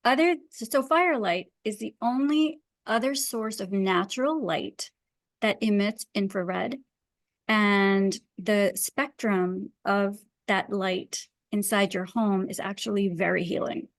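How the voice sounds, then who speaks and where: A woman's voice: mid-range voice, slow, no room sound, clean studio sound. A woman speaking as a guest expert in an interview, explaining her ideas conversationally in an earnest, emphatic way. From Pakistan. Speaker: guest wellness and design expert.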